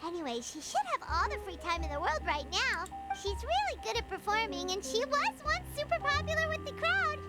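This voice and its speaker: A woman voicing a small, cheeky, childlike fairy companion in an anime video game, squeaky and perky. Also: big pitch swings, a little fast, hardly any pauses. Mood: cheerful, eager. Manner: perky, refers to self in third person. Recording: loud music behind the voice.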